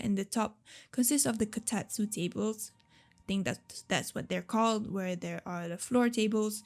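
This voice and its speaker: Slightly raspy, creaky woman, casual rambling. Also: medium pitch, a little mellow. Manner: rambling, unsure, apologetic, giggly. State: voice tired from talking a lot. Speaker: gaming video creator narrating a build. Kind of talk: casual unscripted voice-over commentary. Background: background music.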